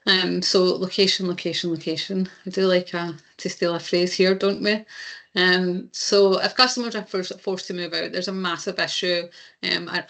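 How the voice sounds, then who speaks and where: A Scottish woman presenting in a webinar, talking listeners through her slides in a steady, explanatory way. Her voice has a gravelly edge, and her pitch stays level and even throughout. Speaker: insurance claims presenter.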